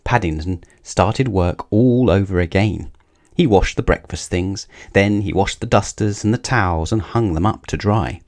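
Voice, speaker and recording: Low-voiced man narrating a children's story. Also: moderate pace, darkish tone.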